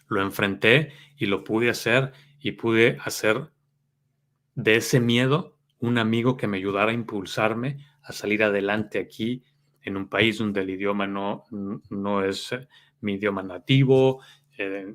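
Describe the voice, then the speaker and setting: Mexican guy, lowish voice, doing a self-help coaching talk, earnest, explains stuff with emphasis. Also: steady tone, measured pace, fairly bright tone. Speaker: life coach giving a talk.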